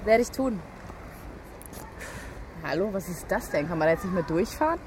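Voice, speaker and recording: Actress as friendly policewoman, lowish voice, background noise. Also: expressive, normal speed, a little mellow, lightly acted. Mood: relaxed, teasing. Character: friendly, teasing policewoman, older than her colleague. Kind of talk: naturalistic radio drama dialogue.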